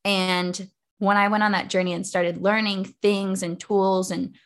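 A woman's voice: medium pitch, little pitch movement, measured pace, fairly bright tone. Chatty young woman, lots of "like". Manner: casual, chatty, many "like" fillers. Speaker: podcast guest, author and coach. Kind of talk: podcast interview.

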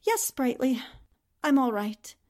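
A woman's voice: sits high, big pitch swings, takes it slowly, a little mellow, exaggerated voice. A woman playing a plucky, emotional newspaperwoman heroine, earnest and dramatic in 1940s radio style. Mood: relieved.

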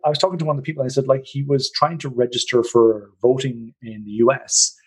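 A man's voice: mid-range voice, fairly even intonation, fast talker, husky, rough recording. A man with an Irish accent hosting a podcast in a rambling, joking, chatty way. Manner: rambling, chatty, jokey storyteller. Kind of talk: casual podcast chat.

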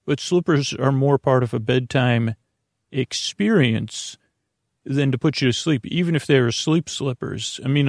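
A man hosting a bedtime podcast in a meandering, halting monologue full of trailing asides, with a creaky, gravelly voice. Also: medium pitch, a little mellow, dry recording, clean studio sound. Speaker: podcast host.

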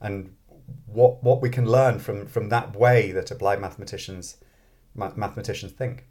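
A man's voice: fairly low pitch, fairly even intonation, normal speed, a little mellow. A man with a British accent, talking casually as an interview guest and thinking aloud in a self-deprecating way. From Britain, grew up in Scotland. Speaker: interview guest, mathematics professor and author.

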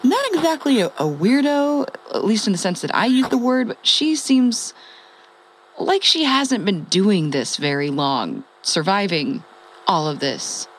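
An actress playing a wry, sweary former radio host who survives the apocalypse, telling her day in a halting, conversational audio-drama monologue. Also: mid-range voice, lively intonation, slight background noise, lightly acted, poor audio. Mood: wry, amused. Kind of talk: conversational comedic monologue, as a ham radio log.

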